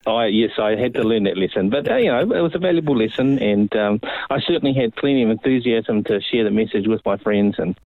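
A New man in his fifties, speaking in a somewhat low voice as an interview guest, unhurried and full of pauses and fillers. His voice comes through a narrow, phone-like line with no room sound around it. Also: no echo. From New Zealand. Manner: relaxed, many pauses and fillers. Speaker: ministry guest. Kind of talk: casual interview storytelling.

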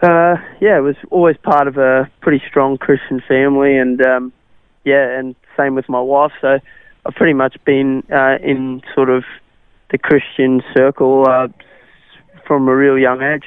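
A man's voice: mid-range voice, level tone, unhurried, clear, resonant tone, no echo. Young aussie guy, afrikaans first language, umms a lot, dry tinny radio sound. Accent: Australian. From South Africa. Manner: hesitant, many fillers and restarts. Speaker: interview guest, cricketer. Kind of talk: radio interview. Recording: tinny phone-like radio line.

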